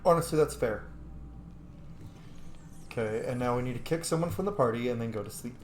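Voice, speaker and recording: A man's voice: mid-range voice, fairly quick, a little mellow. A man giving casual, jokey let's-play commentary as he roleplays through a video game. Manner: playful, jokey. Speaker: gameplay streamer. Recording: roomy echo, game music behind.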